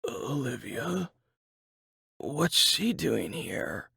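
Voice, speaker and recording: Woman voicing a weak, raspy injured man. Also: medium pitch, sing-song, sharp tone, no room sound, clean studio sound, heavily acted. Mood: groggy, pained. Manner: weak, halting, terse. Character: injured groggy foster brother waking in hospital.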